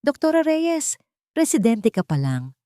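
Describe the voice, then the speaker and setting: A woman's voice: high-pitched, big pitch swings, unhurried, warm tone, no echo, clean studio sound, voice pushed up. A woman playing a grumpy old head nurse in an audio drama, curt and condescending. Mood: scolding, dismissive.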